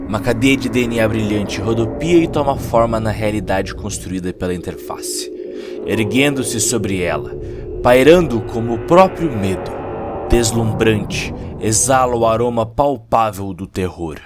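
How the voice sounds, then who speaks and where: A Brazilian man narrating a dramatic scripted audio story in a theatrical, deliberate way, with short emphatic phrases. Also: lowish voice. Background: prominent music.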